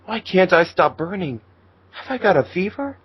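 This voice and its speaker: A man's voice: high-pitched, sing-song, normal speed, breathy edge, dull audio, voice pushed up, full character voice. A man voicing a shy, innocent, nervous teenage boy in an amateur fan fiction reading. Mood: confused, innocent. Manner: hesitant, nervous.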